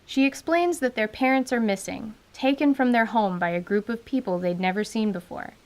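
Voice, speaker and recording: A woman narrating a tabletop adventure as game master, in an even, wry storytelling manner. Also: fairly high pitch, normal speed.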